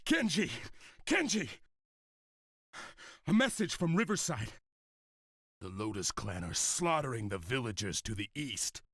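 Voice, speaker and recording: A fiery samurai warrior in a game cutscene. His delivery is forceful and urgent, with animated pitch. The recording is close and dry. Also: measured pace, pauses often, slightly rough, dry recording, full character voice. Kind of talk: video game cutscene acting.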